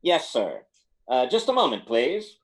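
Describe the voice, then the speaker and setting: Man as polite, lively telephone operator. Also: mid-range voice, unhurried. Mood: polite formal. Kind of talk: live radio drama acting.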